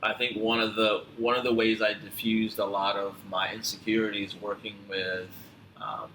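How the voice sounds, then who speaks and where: A man with a fairly low, bright voice tells stories casually as a podcast guest. He speaks haltingly and reflectively, circling back over his phrases. The room is echoey around him. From born in Tanzania, grew up in upstate New York. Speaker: podcast guest, physical therapist. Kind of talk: casual conversational storytelling. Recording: roomy echo, clear background noise.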